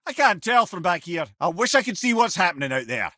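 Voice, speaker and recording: A man's voice: sits high, sing-song, a little fast, sharp tone, dry recording, studio quality, exaggerated voice. An actor voicing a witty, worldly older male advisor in a video game, with a Scottish accent and a wry, conversational way with old-fashioned phrases. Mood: wry, urgent banter. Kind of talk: video game character dialogue.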